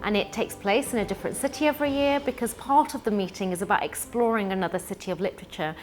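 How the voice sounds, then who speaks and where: A woman's voice: medium pitch, quick pace, darkish tone. A woman speaking earnestly in a documentary interview about reading and her city. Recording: music playing behind.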